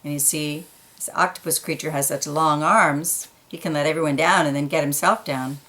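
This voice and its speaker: A woman reading a children's picture book aloud, pausing for quiet asides about the pictures. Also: low voice, fairly even intonation. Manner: slow, gentle, pointing out pictures in quiet asides.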